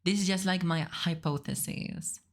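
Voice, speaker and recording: A woman's voice: fairly low pitch, moderate pace. A woman from Spain with a Spanish accent, speaking casually and playfully as a streamer reacting to a video, giggly and free with swearing. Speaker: VTuber streamer.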